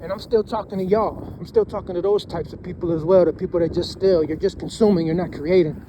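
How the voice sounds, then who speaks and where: High-pitched thirty-year-old man, rambling. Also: steady tone, quick pace, dark tone, slight background noise, rough recording. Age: 30s. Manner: rambling, repeats himself, keeps saying "man" and "you know what I'm saying". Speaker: vlogger. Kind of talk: casual motivational monologue.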